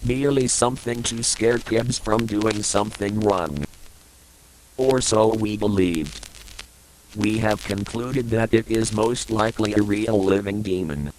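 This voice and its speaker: A man playing a solemn government emergency broadcast announcer in an analog horror piece, formal and measured. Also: lowish voice, darkish tone, a little hiss or hum, close to an everyday voice, poor audio. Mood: grave, official.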